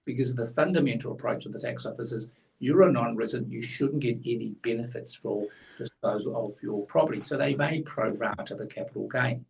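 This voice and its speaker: A man's voice: mid-range voice, fairly quick, gravelly, darkish tone, distant mic, low-quality recording. A man, blunt and opinionated, explaining a tax rule in casual chat as co-host, a little away from the mic.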